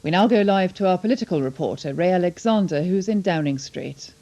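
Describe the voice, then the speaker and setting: A woman's voice: lowish voice, lively intonation, fairly quick, dark tone, highs cut off. A woman reading scripted television news in a studio. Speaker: news reader.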